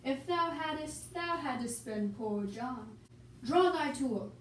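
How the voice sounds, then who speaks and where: A woman's voice: high-pitched, lively intonation. A young woman giving an amateur, theatrical reading of Shakespearean dialogue for a puppet show. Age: young adult. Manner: theatrical, reciting archaic dialogue slowly. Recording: echoey room, distant mic, hissy noise.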